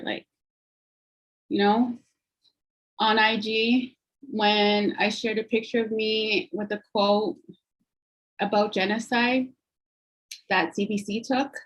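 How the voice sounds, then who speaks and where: A woman's voice: medium pitch, fairly bright tone, low-quality recording. A woman giving an earnest personal account at an online panel, talking conversationally over a video call. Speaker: guest speaker.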